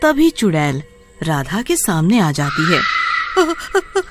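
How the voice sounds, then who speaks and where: A woman's voice: deep voice, big pitch swings, a little slow, over music. A woman storyteller narrating a scripted tale.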